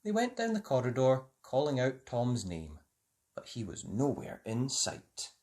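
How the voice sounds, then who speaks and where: A storyteller reading a children's picture book aloud in an expressive, deliberate way for young listeners. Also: mid-range voice.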